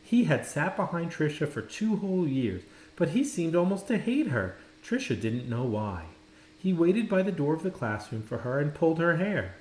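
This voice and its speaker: A man reading a children's story aloud, dramatically, with pauses and stressed words. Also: fairly high pitch, very animated, a little mellow. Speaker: storybook reader. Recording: roomy echo, faint background noise.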